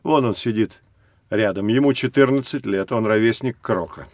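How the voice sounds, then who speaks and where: A man playing a knowledgeable, patient teacher who explains things to children in a measured, expressive storytelling way. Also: lowish voice, no echo, lightly acted. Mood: explanatory. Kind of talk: scripted educational storytelling for children.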